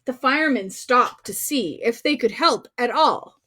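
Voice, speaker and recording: A woman's voice: fairly high pitch, animated, a little slow, sharp tone, breathy edge. A woman storyteller reading a picture book aloud to children in a playful, exclaiming manner, with dramatic emphasis and asides to young viewers. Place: recorded on a livestream.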